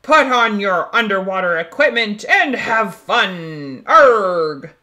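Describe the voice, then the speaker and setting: A woman voicing a hearty, blustering male pirate captain from a children's storybook, with loud exclamations. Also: medium pitch, very animated, slow, exaggerated voice. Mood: hearty, jolly.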